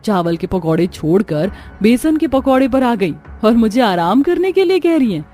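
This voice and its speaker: A woman's voice: fairly high pitch, sing-song, moderate pace, mellow, soft background music. Woman playing a weary, put-upon young daughter-in-law. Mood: puzzled, relieved. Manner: aggrieved, complaining.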